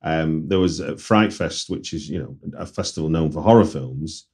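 Low husky Mancunian man. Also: normal speed, a little mellow. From Manchester, England. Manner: conversational, frequent backchannel murmurs. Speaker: podcast host. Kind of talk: casual interview chat.

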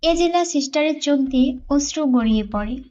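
A woman's voice: high voice, expressive, normal speed, dark tone, dull audio. A woman narrator reading a scripted romance story.

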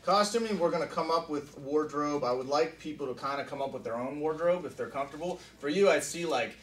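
A man's voice: a little high, fast, fairly bright tone. A man speaking as a film director at a table read, informal, reading stage directions and explaining shoots. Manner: informal, peppered with "you know" and "like". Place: small indoor conference room. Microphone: camera mic across the table. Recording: echoey meeting room, distant mic, faint noise.

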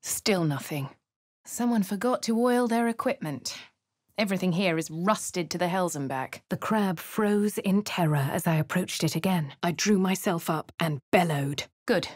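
An actress with a posh English accent playing a composed adventurer in a game, speaking in a dry, measured, lightly sardonic way. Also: female voice, lowish voice, no room sound, close to an everyday voice. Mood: wry. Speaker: player character. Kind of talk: game voice lines. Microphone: studio mic.

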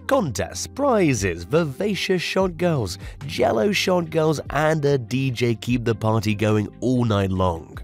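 A man narrating a scripted restaurant countdown in an even, promotional read with odd stresses. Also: lowish voice. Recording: voice-over with faint music.

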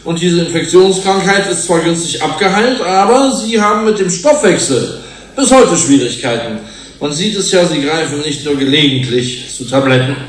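An actor playing a confrontational research investigator in a radio play, pointed and insistent. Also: male voice, a little high, expressive, moderate pace, recorded from a distance, slight background noise, lightly acted. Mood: pointed, accusing. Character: Brian O'Sullivan, confrontational, probing male research investigator. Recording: echoey room, off the mic.

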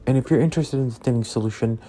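A man narrating a scale model build as a relaxed tutorial, easygoing and full of ums and uhs as he recommends each step. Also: medium pitch, very fast. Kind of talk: relaxed instructional voice-over. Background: soft background music.

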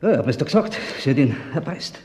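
A man's voice: mid-range voice, very animated, fast, darkish tone, lightly acted. A man acting an agitated, brusque character in a radio crime thriller, with an Austrian accent and a curt delivery. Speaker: actor. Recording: echoey, reverberant room.

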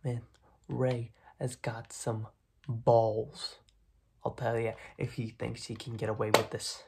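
A young man voicing a gruff, dismissive, streetwise mob boss in a soft-spoken ASMR roleplay, breathy and clipped. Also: mid-range voice, flat delivery, slight background noise. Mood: incredulous, scornful. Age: young adult. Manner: slow, clipped tough-guy talk with repeated phrases. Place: small dim room at home, indoors.

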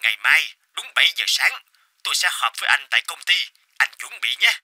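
Brisk, demanding male company chairman. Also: mid-range voice, big pitch swings, bright, forceful tone, breathy edge, heavily acted. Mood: impatient, commanding. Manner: brisk, commanding. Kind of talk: cartoon voice acting.

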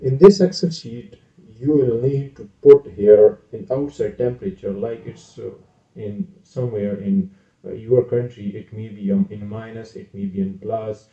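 Low-voiced man in a roomy, dull recording. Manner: hesitant, many "uh" fillers and self-corrections. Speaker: channel host explaining an engineering calculation. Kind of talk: casual screen-share tutorial. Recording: roomy, distant, dull lo-fi sound.

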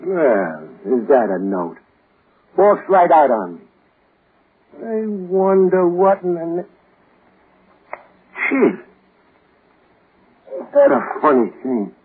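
An actor playing a brusque, uneasy, slangy barkeep in an old-time radio drama. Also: male voice, a little high, very animated, slow pace, long pauses, breathy edge, full character voice. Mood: puzzled. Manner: slangy, stammering when nervous. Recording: muffled old radio recording.

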